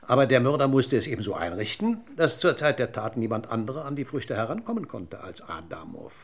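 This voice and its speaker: A man acting a police inspector in a German radio crime drama, questioning in a deliberate, courteous and insistent way. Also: mid-range voice, big pitch swings. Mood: explaining, assured. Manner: deliberate, courteous interrogator's delivery. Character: male police inspector, probing, methodical, politely insistent.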